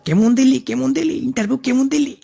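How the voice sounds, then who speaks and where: A man's voice: very high voice, fast talker, creaky, mellow, husky, heavily acted. A man voicing a scheming, cocksure office clerk in a comic cartoon, pushy and wheedling, with an eastern Bengali dialect. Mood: cocky, scheming.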